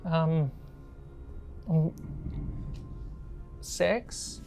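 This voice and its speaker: A woman's voice: sits low, dark tone, soft background music, full character voice, rough recording. A woman voicing a timid six-year-old girl in a tabletop game, speaking hesitantly. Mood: shy, brief. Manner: hesitant, halting answers. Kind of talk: tabletop roleplay.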